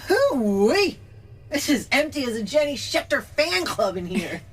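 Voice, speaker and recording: A woman playing a folksy, gung-ho, alien-hunting starship security officer in an audio comedy, husky and blunt. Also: high-pitched, very animated, a little slow, recorded from a distance. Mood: gung-ho, awed. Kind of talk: audio comedy drama acting.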